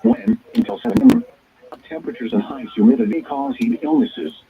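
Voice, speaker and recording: A man's voice: high-pitched, huge pitch swings, a little fast, creaky. A man's synthetic, stilted voice reading scripted weather alerts formally as a radio announcer, in choppy phrases. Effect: weather radio broadcast. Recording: tinny, narrow-band weather radio signal.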